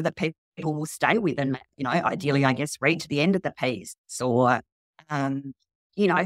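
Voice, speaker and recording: Casting: woman, low voice, interview guest, journalist explaining her craft with asides, close dry sound. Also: dry recording. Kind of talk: conversational interview answers.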